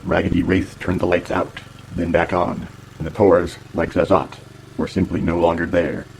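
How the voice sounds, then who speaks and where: A man reading scripted narration for a pulp adventure serial in a measured, dramatic way. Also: sits low, flat delivery, background noise. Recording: loud music behind narration.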